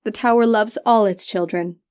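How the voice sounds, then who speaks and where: A woman's voice: mid-range voice, moderate pace, a little mellow, clear, resonant tone, close to an everyday voice. A woman voicing a cruel, petulant witch sister in a fantasy audiobook, with a taunting, sing-song, theatrical delivery. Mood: mocking, playful.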